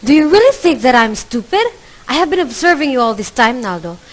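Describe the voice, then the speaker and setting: A woman's voice: sits high, very animated, brisk. A woman playing a furious accusing wife. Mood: bitter. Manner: accusing, shouting in an argument. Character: bitter, furious middle-aged wife and mother. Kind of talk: amateur student radio drama acting.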